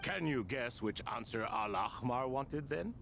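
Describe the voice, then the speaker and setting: A courteous, ancient spirit lord from a video game. His medium-pitched voice is creaky and swings widely as he reasons. Faint noise sits behind him. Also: expressive, measured pace, fairly bright tone. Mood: thoughtful. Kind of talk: scripted video game voice acting.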